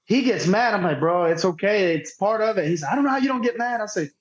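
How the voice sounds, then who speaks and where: A man's voice: sits high, lively intonation, fast. A man talks in a dull, lo-fi recording. He is informal and self-deprecating, often repeating himself as he explains things in casual anecdotes. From Northeast Texas, United States. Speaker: podcast guest, tournament director. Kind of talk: casual interview chat with anecdotes. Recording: dull, lo-fi remote audio.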